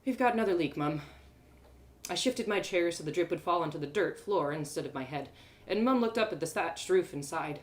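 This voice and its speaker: A woman's voice: lowish voice, a little fast. A woman storyteller narrating a first-person fantasy tale expressively. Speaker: storyteller, host.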